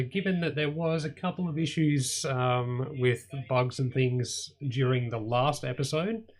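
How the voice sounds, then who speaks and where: Slightly hoarse man hosting a coding tutorial. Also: medium pitch. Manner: rambling with frequent ums and sort-ofs. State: slightly hoarse, losing his voice. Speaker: host of an electronics channel. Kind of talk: casual screen-share coding tutorial.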